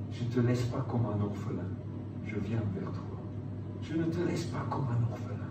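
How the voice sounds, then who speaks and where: A man preaching and praying in an emphatic, pleading way, repeating short phrases as he appeals to his listeners. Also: medium pitch. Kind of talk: evangelistic sermon with altar call and prayer. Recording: echoey room, distant mic, clear background noise.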